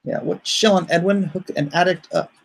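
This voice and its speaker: A man's voice: high-pitched, normal speed, gravelly, rough recording. A man hosting a livestream, chatting casually and reading viewer comments aloud in a rambling, joking way. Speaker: comic artist hosting a livestream.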